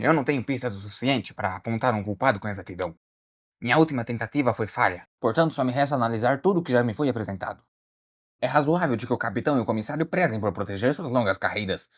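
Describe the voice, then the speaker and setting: A man playing an earnest, easily startled detective in an animated mystery short, theatrical as he deliberates aloud. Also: medium pitch, lightly acted. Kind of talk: dramatic monologue, animated short voice acting.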